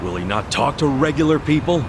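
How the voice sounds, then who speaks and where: An actor playing an earnest, pragmatic crew member with a plain, thoughtful delivery in a video game. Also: male voice, medium pitch, expressive, fairly quick, background noise, natural voice. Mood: questioning.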